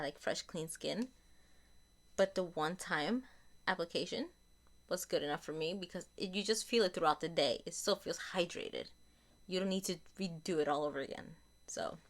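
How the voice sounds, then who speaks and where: Slightly raspy, lower-voiced chatty woman. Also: a bit bright. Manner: chatty, repeats and corrects herself. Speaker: beauty vlogger. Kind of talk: casual product review.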